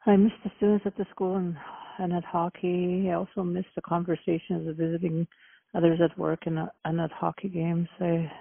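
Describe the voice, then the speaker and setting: A middle-aged woman from Manitoba, Canada, answering interview questions by phone in an earnest, halting way. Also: lowish voice, little pitch movement, gravelly, breathy edge, no room sound. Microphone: telephone. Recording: tinny phone line.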